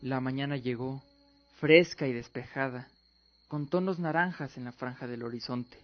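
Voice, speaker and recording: A man's voice: medium pitch, normal speed, no echo. A man narrating a scripted fantasy radio drama as a dramatic storyteller. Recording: dull lo-fi with music underneath. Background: music.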